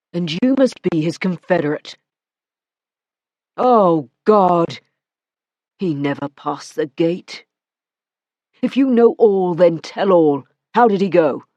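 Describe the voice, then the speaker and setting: Woman reading as a furious man, cold frenzy, hissing, slow, dry sound. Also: fairly low pitch, animated, no echo, dull audio. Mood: cold fury. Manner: intense, hissing, slow and forceful.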